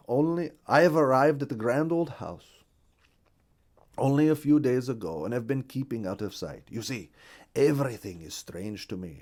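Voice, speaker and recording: A man's voice: medium pitch, a little mellow. A man voicing a timid, confused partridge from far away in a storybook, speaking politely and formally. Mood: wary, polite. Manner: formal, earnest storybook speech.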